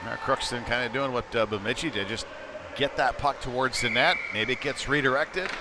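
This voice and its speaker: A man's voice: mid-range voice, brisk. Man calling hockey over arena noise. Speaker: ice hockey play-by-play commentator. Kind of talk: live sports commentary. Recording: noisy live rink broadcast.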